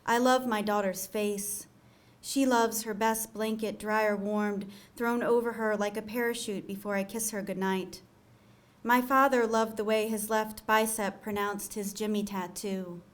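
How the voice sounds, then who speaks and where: A young woman reading her own poem aloud at a podium, measured and soft, with hesitant fillers when she introduces it. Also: medium pitch, steady tone. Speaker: student poet reading her work. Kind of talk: poetry reading. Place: small lecture room with audience. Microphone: podium gooseneck mic.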